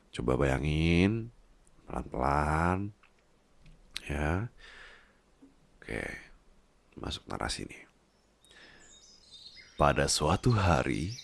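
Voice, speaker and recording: Slow, creaky man. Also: rumbling low, no echo. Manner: playful, coaxing, colloquial. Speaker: voice roleplay performer as doting husband. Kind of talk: intimate ASMR roleplay and storytelling.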